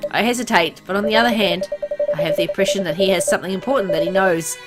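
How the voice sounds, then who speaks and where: A woman's voice: medium pitch, fast, loud music behind the voice, lightly acted. A woman voicing a brooding, suspicious father who narrates his wandering in a visual novel with a hesitant manner. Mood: wary.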